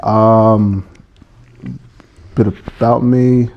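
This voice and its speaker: A man in his twenties from Samoa, a podcast guest chatting casually with many pauses and fillers. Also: lowish voice, steady tone, dull audio, slight background noise. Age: 20s. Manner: easygoing, laughs often, many ums.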